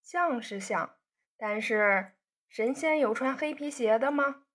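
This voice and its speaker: A woman reading a storybook voices a spoiled young rich man who teases his sweetheart with easy banter. The tone sits fairly high with a husky, breathy edge. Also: takes it slowly, fairly bright tone. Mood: teasing. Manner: bantering, coaxing. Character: teasing young man, playful rich son.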